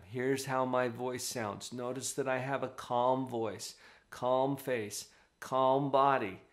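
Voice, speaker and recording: Brief: man, mid-pitched, parenting coach, chatty and emphatic, office setting. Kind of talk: direct-to-camera parenting advice.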